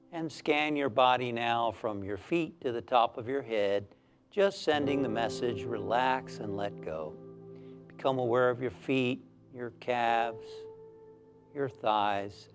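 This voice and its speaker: A man leads a guided meditation in a soothing, medium-pitched voice. He speaks very slowly and calmly, leaving long pauses between short phrases. Soft music plays faintly behind him. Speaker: minister leading a guided meditation.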